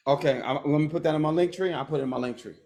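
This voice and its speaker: A man in his fifties performing a spoken word poem with a measured, rhythmic delivery, slowing down to stress key phrases. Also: a little high, a little mellow. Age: 50s.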